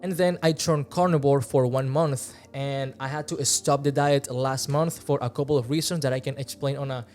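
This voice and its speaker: A man's voice: medium pitch, normal speed, few pauses, soft background music. A man in his twenties from Venezuela with a Venezuelan Spanish accent, talking earnestly to camera in his second language, close to a studio mic in a small room. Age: 20s. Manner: relaxed, earnest, gestures with hands while talking. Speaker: fitness vlogger. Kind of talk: casual talk to camera. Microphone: large studio mic close in front.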